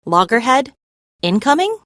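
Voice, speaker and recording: A woman voicing an eager, excitable young girl in a children's story, exclaiming and questioning. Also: fairly high pitch, a little slow, no echo, studio quality, pitched above the performer's own voice. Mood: unsure.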